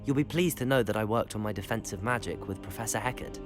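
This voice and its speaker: An eager young student wizard, played by a man, talking quickly. He is earnest, polite and a little cheeky, a game character with music behind. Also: lowish voice, natural voice.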